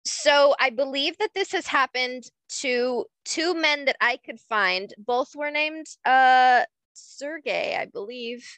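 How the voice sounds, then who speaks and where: A young woman co-hosting a casual chat, explaining things slowly with fillers and small laughs. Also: high-pitched, a bit bright, no room sound. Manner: unhurried, explains facts with frequent fillers and laughs. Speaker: podcast co-host.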